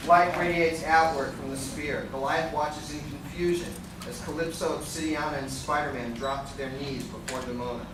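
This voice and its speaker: A man's voice: a little high, steady tone, moderate pace, hardly any pauses, lightly acted. A man reading scripted narration for a live radio play, describing the action as narrator. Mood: dramatic narration. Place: live convention stage reading in a large room. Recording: echoey noisy hall, recorded from far off.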